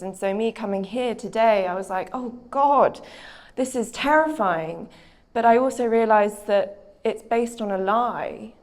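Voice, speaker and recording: Young British woman author, reflective, hesitant, mid-pitched, stage chat. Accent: British English. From Britain. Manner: thoughtful, hesitant, with frequent fillers.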